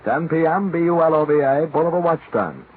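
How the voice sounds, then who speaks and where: Vintage radio announcer, male, slightly high voice, scripted, narrow band with faint hiss. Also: animated, fairly quick. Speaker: station announcer. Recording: tinny, faintly hissing 1940s radio broadcast.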